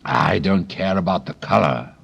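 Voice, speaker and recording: A man reading a storybook and voicing a proud, bossy female tiger lily flower in an imperious, slow, commanding manner. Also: low-pitched, gravelly, a bit bright, slightly rough, muffled recording, heavily acted. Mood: haughty.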